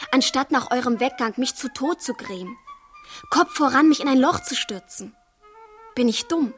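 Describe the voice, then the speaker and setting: A woman's voice: sits high, husky, full character voice. An actress playing an impulsive, devoted fifteen-year-old girl in a poetic radio drama, theatrical and fervent. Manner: theatrical, fervent, swinging from hushed to impetuous. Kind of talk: German radio drama acting, poetic verse.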